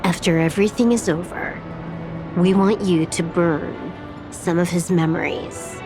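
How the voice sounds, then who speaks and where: A cool, enigmatic agent from an anime-style game. She speaks in a slightly low voice with formal, matter-of-fact calm, as if stating what is already decided. Music is clearly audible beneath. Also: measured pace. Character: cool, enigmatic female agent delivering fated prophecy.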